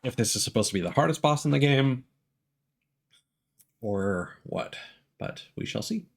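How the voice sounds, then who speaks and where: A video game streamer giving casual gameplay commentary, thinking aloud in a measured way. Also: medium pitch, animated, vocal fry.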